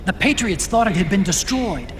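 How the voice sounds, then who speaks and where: Earnest, brainy tech expert. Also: male voice, sits high, animated, normal speed. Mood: intent explaining. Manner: explanatory, earnest. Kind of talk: dramatic video game cutscene acting. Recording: noisy background ambience.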